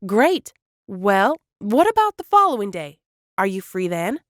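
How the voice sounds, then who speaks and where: A woman's voice: mid-range voice, sing-song, a little slow, no room sound, clean studio sound. A woman playing an eager, scheming small-town dreamer in a cartoon, chatty and enthusiastic. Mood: persuasive. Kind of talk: animated comedy dialogue.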